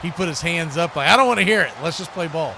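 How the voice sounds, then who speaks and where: Male American sports commentator, slightly high register, live stream host delivery, noticeably noisy sound. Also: expressive, quick pace. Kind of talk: live American football play-by-play and casual chat.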